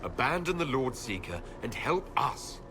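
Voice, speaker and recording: An actor playing a composed, resolute heroic leader in a video game cutscene, measured and direct. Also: male voice, medium pitch, sing-song, background noise, lightly acted. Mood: firm.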